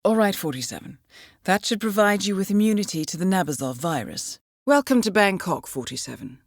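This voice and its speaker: A woman playing a cool, composed intelligence handler in a video game, giving mission briefings in a measured, formal, dryly witty manner. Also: mid-range voice, big pitch swings, no echo, studio quality. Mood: composed, businesslike.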